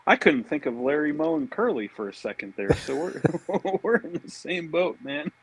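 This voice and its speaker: A man's voice: a little high, expressive, normal speed, gravelly, husky, rough recording. A man with a laid-back, slangy way of talking, chatting casually about fishing as a podcast guest.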